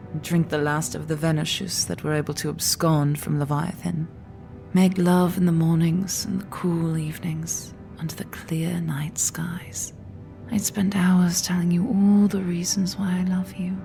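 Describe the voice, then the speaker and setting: An actress playing an immortal woman leader in a sci-fi audio drama, intimate and hushed, tender yet weary and resolute. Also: fairly low pitch, vocal fry. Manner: intimate, hushed, often slipping into whisper. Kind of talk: full-cast sci-fi audio drama acting.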